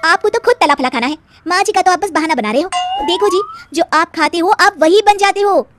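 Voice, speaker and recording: Woman playing very high-pitched insistent young daughter-in-law. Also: wildly swooping pitch, a little fast, noisy background, heavily acted. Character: young health-obsessed daughter-in-law, earnest and pleading. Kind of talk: Hindi cartoon moral-story acting.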